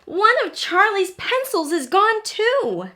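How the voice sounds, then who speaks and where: Storybook role: tiny living alphabet letters, childlike and excitable, very high-pitched, exclaiming everything in an exaggerated way played by a woman reader. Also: slow pace, heavily acted. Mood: shocked, alarmed. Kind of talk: children's storybook read-aloud.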